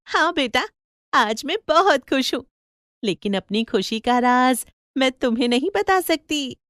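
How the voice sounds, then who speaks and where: A woman voicing an excitable, hardworking mother in an animated story, with exclamatory, wondering delivery. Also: high voice, a little slow, dry recording, studio quality. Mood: cheerfully evasive. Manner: exclamatory, wondering, mildly scolding. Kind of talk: animated Hindi storybook acting.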